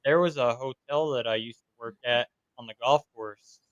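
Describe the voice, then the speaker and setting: A man's voice: mid-range voice, normal speed, clean tone, muffled recording. A man from New York hosting a podcast, telling stories from investigations in a casual interview chat. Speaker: podcast host.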